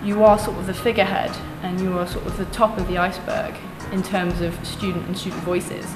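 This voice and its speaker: A woman's voice: on the low side, flat delivery, fairly quick. Young woman, student candidate, earnest and confident, echoey room, music underneath. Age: young adult. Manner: earnest, confident, restates points in lists. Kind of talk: campaign pitch to camera.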